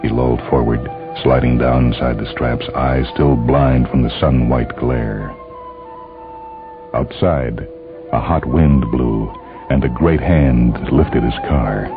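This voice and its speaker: A man's voice: very deep voice, slow. A man narrating a scripted dramatic story. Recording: muffled phone-like band, faint music behind.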